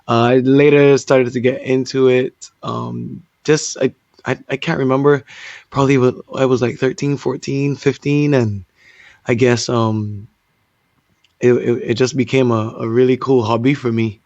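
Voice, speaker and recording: A man's voice: medium pitch, a little slow, vocal fry, dry recording. Laid-back man on a dull line. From Barbados. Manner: relaxed, laughs easily, loose fillers. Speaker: DJ and guest. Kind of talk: casual interview.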